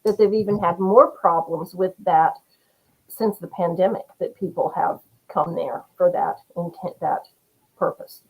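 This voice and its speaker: A woman's voice: on the low side, fairly even intonation, a little slow, breathy edge, rough recording. A woman guest author from Rhode Island speaking earnestly and reflectively in a casual interview, often murmuring agreement. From Rhode Island, USA. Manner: earnest, reflective, frequent mm-hmms.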